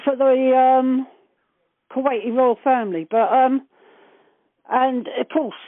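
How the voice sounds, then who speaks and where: A woman's voice: high-pitched, fairly even intonation, takes it slowly, dry recording. An older woman reminisces casually over the phone, chatty, earthy and blunt, with casual swearing. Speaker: interviewee recalling past events. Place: on a phone call. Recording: narrowband telephone call, dry.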